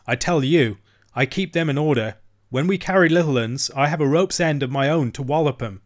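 A man's voice: fairly high pitch, fairly quick, pitched above the performer's own voice, close to an everyday voice. A man voicing a boastful, half-witted young cabin boy who brags with a weak swagger. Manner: swaggering, sly, bragging.

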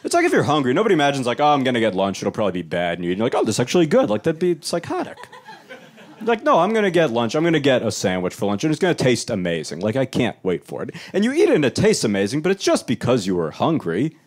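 A young man performing a stand-up comedy set on a club stage, wry and animated, with laughter from the audience. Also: fast talker. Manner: wry, animated, jumps into high-pitched voices for quoted people.

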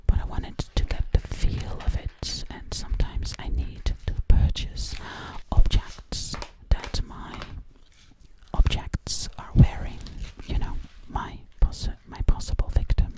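A woman voicing a sinister, obsessive witch in a close, breathy ASMR roleplay, repeating words hypnotically. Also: takes it slowly, natural voice, low-quality recording. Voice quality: breathy whisper. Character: sinister, obsessive female witch hunting for victims. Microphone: close microphone.

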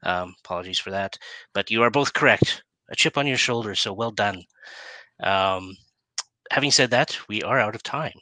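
A man in his forties hosting a casual online chat, speaking informally and haltingly, often trailing off, on a headset. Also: fairly low pitch, sharp tone, dry recording. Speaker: talk show host. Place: indoor room, on a video call.

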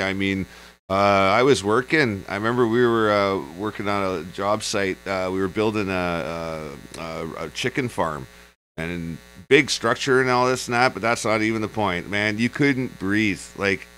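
A man with a forceful, fairly low voice chats off the cuff as a podcast co-host. His way of talking is blunt and slangy, with a joking streak. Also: moderate pace, a little hiss or hum, rough recording. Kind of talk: casual, off-the-cuff chat.

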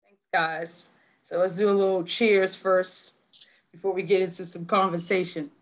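A woman's voice: lowish voice, little pitch movement, normal speed. Chatty woman hosting a video call. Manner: chatty, laughs often. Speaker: host of a virtual wine tasting. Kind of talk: casual video call chat. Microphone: laptop video call.

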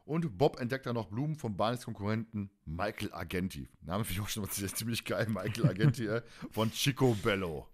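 A man's voice: on the low side, lively intonation, a little fast, hardly any pauses. A man co-hosting a podcast, recapping a story in casual, rambling colloquial talk that often doubles back on its phrases. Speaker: podcast co-host. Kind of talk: casual podcast chat, recapping an audio drama.

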